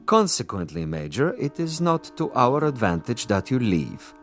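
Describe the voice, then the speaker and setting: An actor playing a suave, menacing commissar in a radio drama, urbane and mocking with elaborately formal diction. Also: male voice, mid-range voice, sing-song, normal speed, soft background music. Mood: mocking menace. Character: suave, menacing male commissar interrogating a former prisoner.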